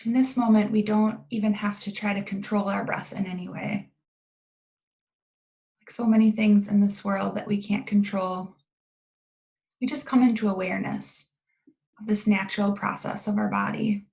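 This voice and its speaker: A woman leading a guided meditation in a calm, gentle, unhurried way with long pauses and a creaky voice. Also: medium pitch.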